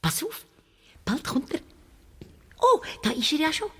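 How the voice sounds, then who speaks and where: A woman's voice: sits high, very animated, measured pace, bright, forceful tone, a little hiss or hum, heavily acted. A woman with a Swiss German accent playing a cheeky, gluttonous, indignant church mouse in a children's radio play, exclaiming theatrically. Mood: greedy, conspiratorial. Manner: exclamatory, theatrical, piling up colourful words. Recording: long reverb of a big hall.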